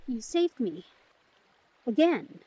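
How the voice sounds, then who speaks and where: A woman playing an empathic, caring young vampire in an intimate audio drama, breathy, probing and gently teasing. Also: fairly high pitch, big pitch swings, slow, full character voice, rough recording. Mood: concerned, shaken.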